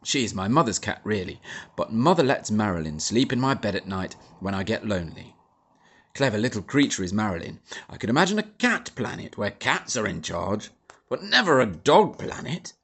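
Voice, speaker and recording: A man's voice: lowish voice, very animated, normal speed, fairly bright tone, husky, exaggerated voice. A man doing a pompous blustering army major. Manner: sneering, spluttering, bombastic. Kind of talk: audiobook character voice.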